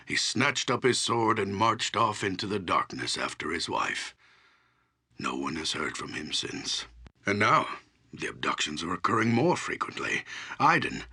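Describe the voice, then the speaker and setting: An actor playing a wise, grave old archdruid in a fantasy game, speaking in a measured, solemn, husky voice. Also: male voice, low-pitched, pushed and bright, heavily acted. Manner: measured, solemn, formal storyteller delivery. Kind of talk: scripted fantasy game character dialogue.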